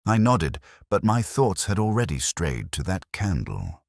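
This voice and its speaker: A man reading first-person mystery narration in a measured, brooding, literary manner. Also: sits low, huge pitch swings, gravelly, no echo, clean studio sound.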